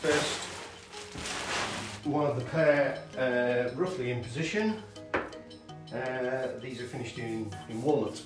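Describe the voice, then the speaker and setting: A man's voice: medium pitch, takes it slowly. Chatty man in a roomy showroom. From England. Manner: chatty, hesitant with fillers and restarts; moving about while lifting and unpacking. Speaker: hi-fi shop owner. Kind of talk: casual product unboxing demo. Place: large carpeted listening showroom indoors. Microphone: camera mic from across the room. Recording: roomy showroom sound from far off with music.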